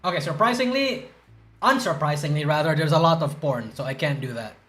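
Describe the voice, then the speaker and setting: Young male streamer, high-pitched, rambling and profane, groggy and stuttery, faint music behind. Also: animated, brisk, a bit bright. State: groggy from a hangover. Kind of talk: casual livestream chat reacting to news.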